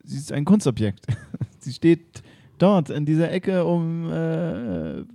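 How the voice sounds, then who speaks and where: Flustered, starstruck museum curator, a man doing it, mellow and higher-pitched. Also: very animated, slow pace, gravelly, no echo, exaggerated voice. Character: fawning, rambling male museum curator. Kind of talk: improvised comedy radio play.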